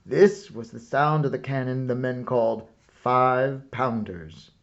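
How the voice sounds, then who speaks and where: A man reading a children's story aloud as scripted narration, with a measured, dramatic storyteller's delivery. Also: medium pitch, vocal fry, lo-fi sound. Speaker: storyteller reading a picture book.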